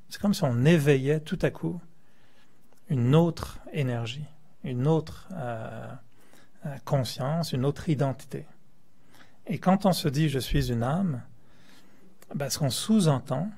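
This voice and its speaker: A man's voice: mid-range voice, gravelly, a little mellow. A man from France, a meditation teacher, gives a spiritual talk to a class in a playful, joking way and chuckles often.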